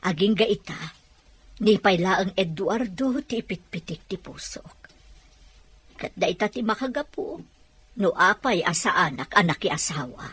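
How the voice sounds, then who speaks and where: A woman playing a wistful, devoted older sister who teaches, with a husky voice, in an Ilocano audio drama. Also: mid-range voice, lively intonation, unhurried, fairly bright tone, no echo, full character voice.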